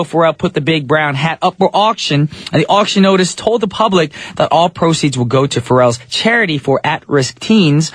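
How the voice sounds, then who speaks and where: A man's voice: on the high side, a bit bright, dry recording. A man hosting a radio show in casual, playful talk, joking with his guest. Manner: playful, jokey, sings snippets. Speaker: radio DJ and host. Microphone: studio microphone.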